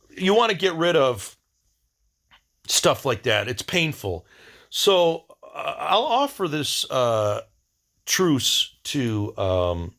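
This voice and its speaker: A man hosting a casual video diary, rambling in a blunt, wry way and often halting to search for words. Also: medium pitch, vocal fry, fairly bright tone.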